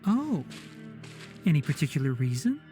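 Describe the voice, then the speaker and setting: A man's voice: fairly high pitch, big pitch swings, normal speed, over music. A man voicing a young, knowledgeable forest ranger in a video game, precise and explanatory with a dry, practical wit. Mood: calm, instructive. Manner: precise, explanatory, dryly wry.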